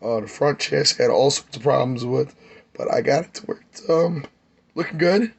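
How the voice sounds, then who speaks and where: A man, hoarse and losing his voice, giving a rambling, enthusiastic hobby update on his model car builds. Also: mid-range voice, moderate pace. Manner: rambling, enthusiastic, many fillers.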